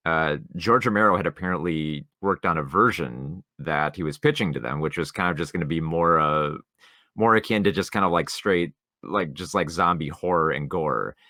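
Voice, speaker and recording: A man with a deep, bright voice talks films as a podcast co-host. He rambles informally, stopping and restarting as he goes, on a close, dry recording. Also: dry recording. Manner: rambling, halting, informal with profanity. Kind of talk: casual film discussion.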